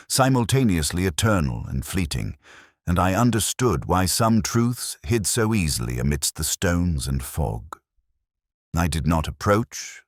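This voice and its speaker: A man playing a brooding, observant police inspector, narrating an audio mystery drama in measured, literary, contemplative phrases. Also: rumbling low, dry recording, studio quality, full character voice.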